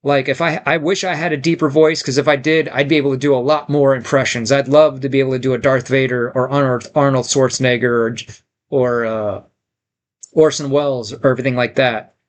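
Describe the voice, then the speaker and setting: A man's voice: mid-range voice, steady tone, fairly quick. A man casually chatting on a livestream, reading viewer comments aloud and rambling with lots of fillers and restarts. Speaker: streamer reading viewer chat.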